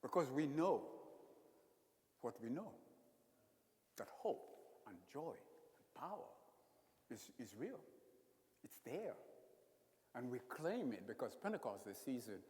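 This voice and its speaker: An older man delivers a sermon, his pitch rising and falling with lively swings. He preaches in an animated way, repeating phrases and slipping in humor. His voice carries a long reverb through the church. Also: takes it slowly, pauses often. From Uganda. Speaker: retired priest.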